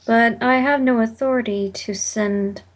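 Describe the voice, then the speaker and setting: A woman's voice: a little high, fairly even intonation, a little slow, close to an everyday voice. A woman playing a prim, apologetic but unbending clerk in an old time radio drama, formal and officious.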